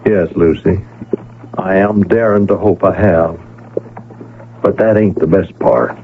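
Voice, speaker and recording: An actor playing a reluctant gunfighter in a radio western, plain-spoken and earnest, with a cowboy drawl from the American West. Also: male voice, deep voice, slow. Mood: hopeful. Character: weary reluctant gunfighter cowboy turned peddler, calm and protective. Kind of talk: 1970s radio western acting. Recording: noisy, tinny old radio broadcast.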